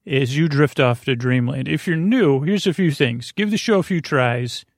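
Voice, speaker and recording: A man with a creaky voice hosting a sleep podcast, rambling gently and reassuringly, halting, repeating himself and trailing off mid-thought. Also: on the high side, no room sound, clean studio sound. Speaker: podcast host. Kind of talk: rambling sleep podcast introduction, addressed to the listener.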